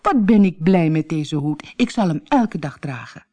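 A woman voicing a proud, easily offended storybook woman in an exclamatory, expressive way. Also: deep voice, unhurried, soft background music. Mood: delighted.

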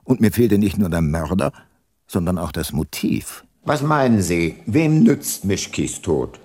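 An actor playing a hard-nosed, cunning older private detective in a radio drama, terse and dry. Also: male voice, sits low, animated, measured pace, a little mellow, breathy edge, full character voice. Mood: dry narration. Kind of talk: radio drama narration and dialogue.

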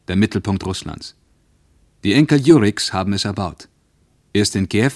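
An actor playing a solemn war veteran, reminiscing gravely in a radio play. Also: male voice, low voice, no echo, close to an everyday voice. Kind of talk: German radio play acting, lyrical monologue.

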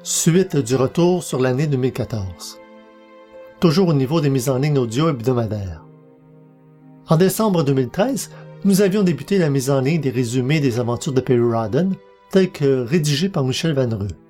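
Bright-voiced man announcing over music. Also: medium pitch, expressive, normal speed. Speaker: podcast host. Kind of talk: scripted announcement.

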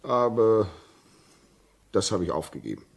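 An actor playing a brooding, dutiful railway signalman in a 1950s German radio drama, speaking gravely and haltingly. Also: on the low side, big pitch swings, full character voice. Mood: reflective, resigned. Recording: muffled-edged 1950s radio drama recording.

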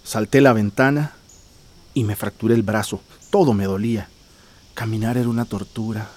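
An actor playing a desperate, worn-down migrant worker in a radio drama, earnest and pleading. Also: male voice, lowish voice, steady tone, moderate pace, darkish tone, natural voice. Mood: pained recounting.

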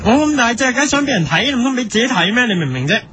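A man acts out a defiant, show-off jogger with a Hong Kong accent. The voice is bright and pushy, arguing bluntly and boasting in radio-comedy style. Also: very high voice, big pitch swings, rapid-fire, a little hiss or hum, exaggerated voice. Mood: defiant, boastful. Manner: blunt, argumentative. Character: defiant, vain muscular man who jogs shirtless.

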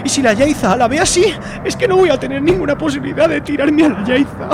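A man's voice: very high-pitched, fast, noisy background. A man playing an anxious, apologetic, stammering lovestruck young man in an amateur radio soap. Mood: exasperated. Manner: pleading, stammering.

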